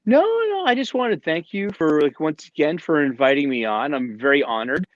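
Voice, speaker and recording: A man, a guest on a casual livestream chat, who talks in halting bits with many restarts and fillers, heard over a video call. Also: fairly high pitch, very animated, no echo, muffled recording.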